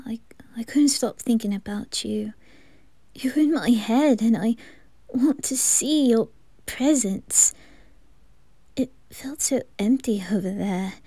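Girl doing a clingy teen boy whispering sweet stuff right into the mic, slow and dry. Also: on the high side, dark tone, dry recording, heavily acted. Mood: whispering tender. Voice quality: breathy whispering. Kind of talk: anime ASMR roleplay.

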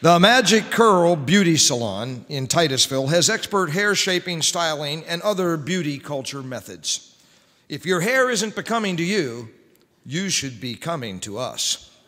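A middle-aged man announces in old-time radio style. His voice sits slightly high and rings through a large hall. He reads scripted spots with a formal host's delivery. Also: very animated, unhurried, pushed and bright. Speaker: radio show host and announcer. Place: large church-like hall on stage, indoors. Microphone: stand microphone at lectern. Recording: reverberant hall.

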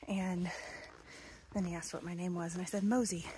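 A woman's voice: fairly low pitch, level tone, normal speed, warm tone, a little hiss or hum. A woman in her twenties vlogging while thru-hiking a wooded mountain trail, talking casually into a phone held at arm's length, with lots of ums and some near-whispered asides. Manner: chatty, with frequent ums, drops to a near whisper at times. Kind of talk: casual vlog narration. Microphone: phone camera held at arm's length.

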